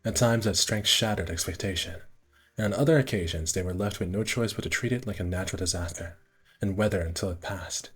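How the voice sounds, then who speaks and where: A man with a low, slightly rough and husky voice reads story narration aloud for a casual game stream. He stumbles now and then and drops into offhand asides. Soft game music plays behind him. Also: fairly quick. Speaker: streamer reading a visual novel aloud.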